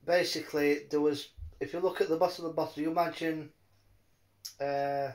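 A man chatting casually in a rambling, halting way, often repeating his words. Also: fairly high pitch, flat delivery, rough recording. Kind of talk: rambling casual phone chat.

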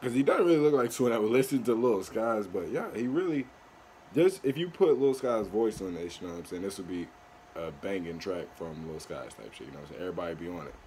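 A young man sits in his bedroom talking straight into his webcam about a track. His low voice swings widely up and down as he reacts in loose slang, with a faint hum of room noise underneath. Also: animated, fast talker, a little mellow. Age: young adult. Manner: loose slangy chatter, exclaims and laughs. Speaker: reaction video host. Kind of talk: casual reaction commentary. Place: small bedroom, indoors.

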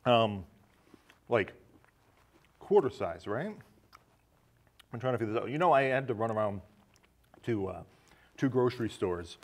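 A man in his thirties with an American accent, hosting a casual film discussion in a studio. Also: on the low side. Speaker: talk show host. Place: small indoor studio set with curtains.

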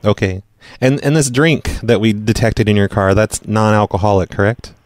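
A man making a casual prank phone call in a deadpan, hesitant manner, rambling and repeating himself as he goes. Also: lowish voice, normal speed, dry recording. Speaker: prank caller, show host.

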